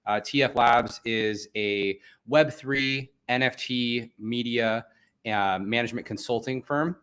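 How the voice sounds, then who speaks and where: A man's voice: medium pitch, steady tone, takes it slowly, a bit bright, clean tone. A man from Venezuela, a native Spanish speaker, hosting a live online event in a chatty, upbeat way with many fillers. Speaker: host.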